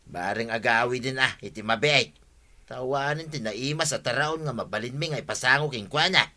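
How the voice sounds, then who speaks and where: A man's voice: mid-range voice, moderate pace, sharp tone. A man playing a grumbling, put-upon village husband in an Ilocano radio comedy drama, with an Ilocano accent. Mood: defensive.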